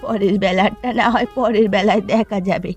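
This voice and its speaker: A woman's voice: mid-range voice, steady tone, moderate pace, soft background music, close to an everyday voice. A woman voicing a weary, grumbling old village mother-in-law in a Bengali cartoon. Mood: practical.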